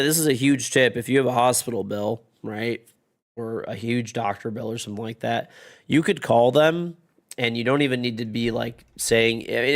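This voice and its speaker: A man in his thirties with a gravelly, creaky voice, worn down by congestion and a fading voice. He chats with his stream viewers in a loose, rambling way, dropping swear words freely. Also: medium pitch. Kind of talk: casual chat with stream chat.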